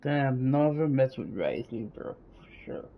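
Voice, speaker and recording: A man's voice: medium pitch, lively intonation, unhurried, highs cut off, slight background noise. A man casually commenting with amused, slangy asides while watching a game cutscene. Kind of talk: casual commentary over gameplay. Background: game music.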